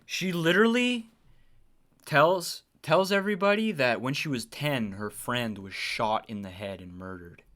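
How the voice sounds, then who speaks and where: Bright-voiced man doing blunt livestream commentary. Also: medium pitch, expressive, a little slow. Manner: blunt, sweary. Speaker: livestreamer reacting to a video. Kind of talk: casual opinionated livestream commentary.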